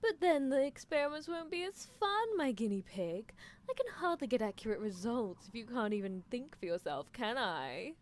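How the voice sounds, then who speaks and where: A woman's voice: a little high, very animated, normal speed. A woman playing a playful, possessive young female mad scientist in an improvised ASMR roleplay, teasing her captive with clinical, mock-polite menace. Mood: coolly teasing.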